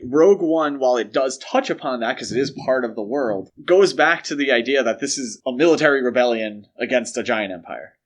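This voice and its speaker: A man hosting a podcast, discussing films casually in a conversational, opinionated way. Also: mid-range voice. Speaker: podcast host.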